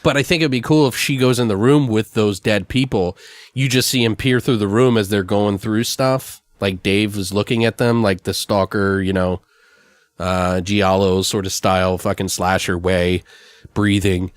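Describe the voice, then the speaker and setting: A man's voice: on the low side, fairly even intonation, normal speed, dry recording, clean studio sound. A man hosting a podcast, brainstorming in a loose, rambling, slangy and profane way. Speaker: podcast host. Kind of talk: casual brainstorming chat.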